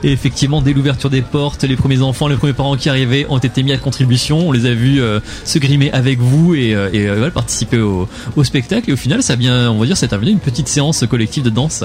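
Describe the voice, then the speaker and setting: A man's voice: medium pitch, fast, hardly any pauses, a little mellow. A man co-hosting a festival radio show, summarizing for listeners and repeating the same filler word again and again. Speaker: radio co-host.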